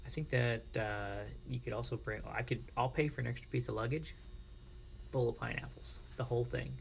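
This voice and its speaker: An American man streaming casually, chatting informally and reacting to viewers in a creaky, gravelly voice. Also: mid-range voice, steady tone, fairly quick, slight background noise. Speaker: live streamer. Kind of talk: casual livestream chat with viewers.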